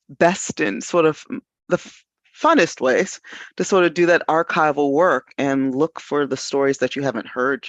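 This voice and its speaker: A man's voice: on the high side, a bit bright, no room sound. A man talking casually and reflectively as a panelist, repeating phrases for emphasis. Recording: dull, lo-fi, dry audio.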